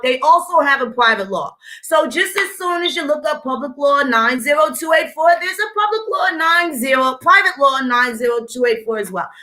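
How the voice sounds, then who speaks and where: A woman with a high, sharp, bright voice talks straight at her audience in a blunt, swearing vlog rant. She mixes combative commentary with reading official text aloud. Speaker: vlogger.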